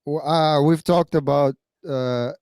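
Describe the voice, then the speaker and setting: A man's voice: on the high side, steady tone, slow pace, darkish tone, clear, resonant tone, no echo, studio quality. A man in his thirties from Kazakhstan whose first language is Russian, chatting casually and hesitantly on a stream, with many fillers and restarts. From Kazakhstan (then USSR). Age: 30s.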